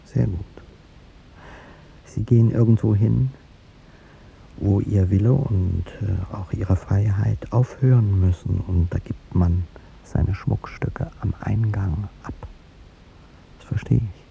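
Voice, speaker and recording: A man's voice: takes it slowly, long pauses, dark tone, full character voice. An actor playing an aging, courtly president in a 1950s radio drama, speaking formally and hesitantly as he gives up a beloved young woman. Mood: resigned, reproachful. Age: older. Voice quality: deep, gravelly. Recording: muffled vintage radio recording, rumbling hiss.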